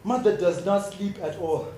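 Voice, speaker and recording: A man's voice: sits high, moderate pace, mellow. A man performing an intense, emotive theatrical monologue as a child narrator, in short emphatic phrases. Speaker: stage actor narrating as a child. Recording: roomy stage recording with faint noise.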